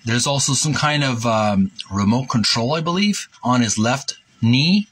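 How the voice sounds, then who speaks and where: A man hosting a retro gaming video, reading a book aloud in a halting, deliberate way with casual commentary. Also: medium pitch, lively intonation, fairly bright tone, slight background noise. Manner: halting, deliberate, repeats himself.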